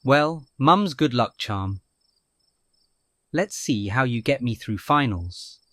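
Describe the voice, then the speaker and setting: A man's voice: medium pitch, expressive, slow, fairly bright tone, dry recording. A man acting a nervous but cheeky young college student in an audio drama. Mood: wry, musing.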